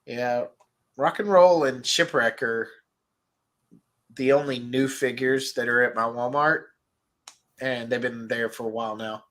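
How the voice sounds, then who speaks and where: A man's voice: mid-range voice, a little slow, pauses often. Guy with a stuffy nasal voice, laid-back chatter, reading out viewer comments. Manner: relaxed, chatty, reads viewer comments aloud. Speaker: host. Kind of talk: casual livestream chat about toys.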